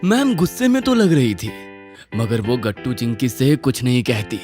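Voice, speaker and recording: A woman's voice: a bass voice, big pitch swings, normal speed, warm tone, soft background music. A woman narrating a scripted children's story.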